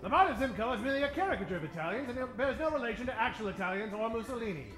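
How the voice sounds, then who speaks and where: A man's voice: extremely high pitch, expressive, very fast. A man narrating a comic old-time radio serial in a dramatic, tongue-in-cheek way. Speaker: narrator, adventure host. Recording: echoey stage, music behind.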